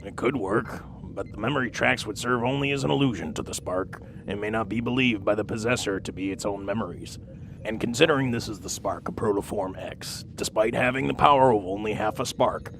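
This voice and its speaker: A man playing a level-headed, analytical robot engineer in an audio drama, speaking in a measured, matter-of-fact way. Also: lowish voice, vocal fry, background noise. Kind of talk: audio drama character acting.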